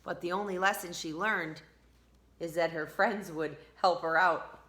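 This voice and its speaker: A woman teacher reading a storybook aloud to children, expressive and speaking to them directly, with drawn-out emphatic words. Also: fairly low pitch.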